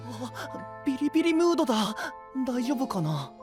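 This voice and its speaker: A man's voice: extremely high pitch, big pitch swings, normal speed, over music. A male actor playing a boyish, candid young knight in an audio drama, casual and easily worried. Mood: uneasy. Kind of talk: Japanese anime-style audio drama acting.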